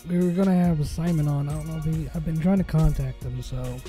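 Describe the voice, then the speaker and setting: Guy hosting his podcast solo, kinda hoarse from being sick, dark voice, slightly high, rambles a lot. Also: fairly even intonation, a little fast, soft background music. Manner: rambling with many fillers and restarts. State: hoarse from a recent illness. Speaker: podcast host. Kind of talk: casual solo podcast talk.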